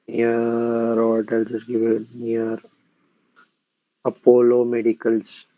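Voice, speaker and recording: An Indian English-accented man guides viewers through a software demo, step by step. His voice is dark and mellow and sits at a middle pitch. The recording is dry and close, with no room sound. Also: completely flat delivery, slow, no room sound, low-quality recording. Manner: explanatory, frequently checks in with "correct?" and "okay?"; repeats phrases while typing. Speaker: software instructor. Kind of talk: screen-recorded tutorial walkthrough.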